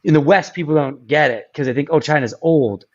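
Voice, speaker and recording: A man's voice: fairly high pitch, a little fast. Rambling man on a dull video call. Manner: rambling, many ums and restarts. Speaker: guest. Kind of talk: casual interview chat.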